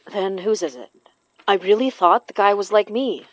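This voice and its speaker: A woman's voice: mid-range voice, lively intonation, a little slow, no echo, rough recording. A woman acting in an audio horror drama as an earnest, knowing spirit medium who blames herself. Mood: bitter, self-doubting. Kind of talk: audio fiction podcast acting.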